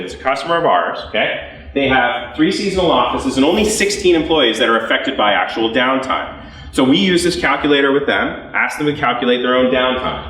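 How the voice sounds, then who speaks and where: A man's voice: medium pitch, fairly bright tone, distant mic. A man with a Canadian accent giving a conversational, jokey live sales presentation to an audience, often tagging points with "okay?". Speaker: business development executive presenting. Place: event room with a live audience. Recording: echoey room.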